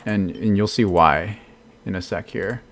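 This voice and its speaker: A man's voice: sits low, a little slow, a little hiss or hum. An American man in his thirties, chatting casually while streaming a game and answering his chat, laughing often. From United States. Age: 30s. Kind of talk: casual live-stream commentary.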